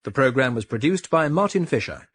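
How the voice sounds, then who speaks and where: A man's voice: mid-range voice, animated, a little fast, fairly bright tone, dry recording. A man with a British accent reading scripted drama credits as a radio announcer. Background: music.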